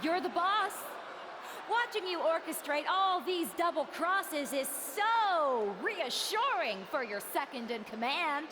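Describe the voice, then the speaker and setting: Very high-pitched female wrestler, swooping wildly through sarcastic, mock-fawning lines in an exaggerated game promo. She takes her time, with clear arena noise behind her. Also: sing-song, takes it slowly, full character voice. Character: sarcastic woman wrestler mockingly posing as a rival's sidekick. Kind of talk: staged wrestling promo acting. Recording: noisy arena ambience.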